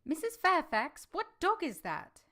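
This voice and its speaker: An actress playing a reserved, polite eighteen-year-old English governess in a vintage-style radio drama. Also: female voice, very high voice, very animated, measured pace, bright, forceful tone, full character voice. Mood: reflective. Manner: restrained, polite, brief and direct in answers. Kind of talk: vintage radio drama acting with first-person narration.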